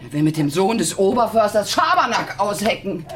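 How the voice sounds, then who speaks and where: A woman's voice: medium pitch, big pitch swings, normal speed, soft background music, natural voice. A woman playing an irritable, worn-out middle-aged working-class mother in a German radio drama, volatile and theatrical. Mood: scolding, needling.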